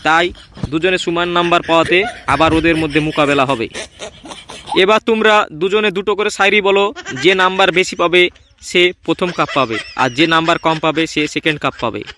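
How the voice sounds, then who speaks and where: A man voicing an officious schoolmaster judge in a comedy cartoon, announcing in a halting, deliberate way. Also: fairly high pitch, pushed and bright, slight background noise.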